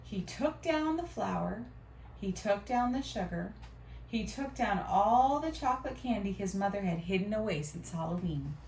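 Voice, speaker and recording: A woman reading a storybook aloud to children in an expressive, teacherly way. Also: medium pitch, normal speed. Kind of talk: animated read-aloud with questions to children. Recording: roomy, distant mic, faint noise.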